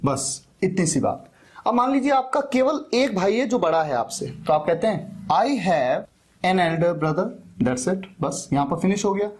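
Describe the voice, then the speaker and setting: A man teaching an English lesson for interviews. He dictates example phrases slowly and answers his own questions. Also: high-pitched, animated, slightly rough. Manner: asks questions and answers them himself.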